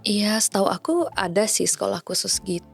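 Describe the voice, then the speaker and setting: A young woman from Bali talks openly and chattily as a podcast guest, laughing easily. Her voice sits in a middle register and carries a noticeable gravelly fry. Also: mid-range voice, steady tone, soft background music. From Bali, Indonesia. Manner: candid, chatty, quick to laugh. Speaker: guest singer and radio presenter. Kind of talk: casual podcast chat.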